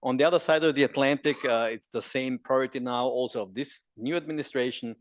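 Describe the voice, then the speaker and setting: A man's voice: mid-range voice, fast talker, dry recording. A man with an Austrian German accent, a native German speaker, presenting at a conference and joking with the audience. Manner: jokey, engages the audience with questions.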